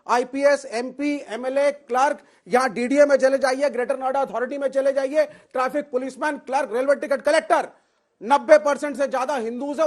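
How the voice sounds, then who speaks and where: A man with a very high speaking voice argues on a televised debate panel. He presses his case insistently and keeps talking over his opponent. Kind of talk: heated TV debate.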